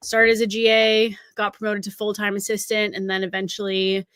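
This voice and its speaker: A woman's voice: medium pitch, completely flat delivery, brisk, fairly bright tone, no echo. A woman in her thirties from Canada, a college recruiting scout chatting as an interview guest in an upbeat way with lots of fillers. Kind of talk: casual interview conversation.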